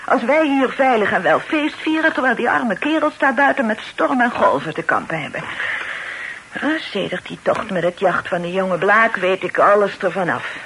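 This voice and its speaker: An actress playing a fussy, bossy middle-aged aunt in a radio drama, chattering and flustered. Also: female voice, mid-range voice, expressive, moderate pace, a bit bright. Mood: chatty, fretting. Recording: noisy old radio play recording.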